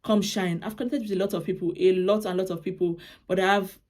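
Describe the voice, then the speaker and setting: A woman's voice: medium pitch, fairly even intonation, fast talker, a little mellow. A young Nigerian woman, a native Yoruba speaker with a Nigerian accent, giving casual advice in a vlog, chatty and often restarting her phrases. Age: young adult. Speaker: vlogger.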